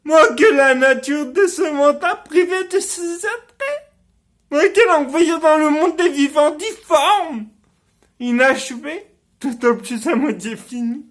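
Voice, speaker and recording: A bitter, misshapen nobleman schemes in a Shakespearean stage monologue. His speech is extremely slow and deliberate, declaimed with theatrical weight and villainous intent. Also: sits high, a bit bright, full character voice. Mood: anguished, bitter rage. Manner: declamatory, deliberate, dramatic delivery.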